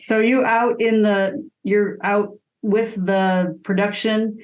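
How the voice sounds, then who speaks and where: A woman's voice: medium pitch, fairly even intonation, slow pace, rough recording. A woman interviewing a guest in a casual, chatty style. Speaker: interview host.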